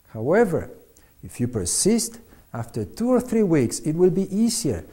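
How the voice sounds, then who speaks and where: A man from Argentina, reading a prepared diet talk in a measured, instructive way. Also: a little high, mellow. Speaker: doctor giving diet advice.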